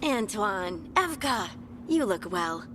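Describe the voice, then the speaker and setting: A woman's voice: mid-range voice, big pitch swings, slow pace, noisy background. A woman voicing a friendly, modest and earnest young scout in a video game, casual and candid. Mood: bright, friendly. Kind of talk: video game dialogue acting.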